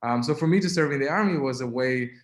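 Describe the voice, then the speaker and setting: Israeli-accented young man, webinar guest, halting delivery full of fillers, echoey room on a video call. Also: mid-range voice. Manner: halting, many fillers and restarts. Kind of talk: personal testimony in a webinar.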